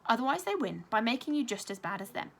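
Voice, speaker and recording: A woman reading the part of a kind, patient mother in a children's story, warm, courteous and reassuring. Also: on the high side, big pitch swings, quick pace, creaky, heavily acted. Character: kind, brightly polite mother, patient and determined.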